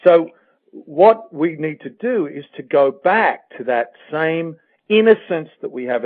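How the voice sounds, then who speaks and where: An Australian man talks as a guest life coach, his voice pitched a little high. He is earnest and emphatic, building points through repetition. The sound is narrow and tinny, like a phone call to a radio show. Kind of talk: motivational interview talk with personal anecdotes.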